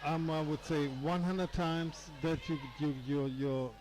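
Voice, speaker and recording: A man whose first language is not English, adding color commentary to a live match, halting as he searches for words. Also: fairly high pitch, steady tone, a loud crowd.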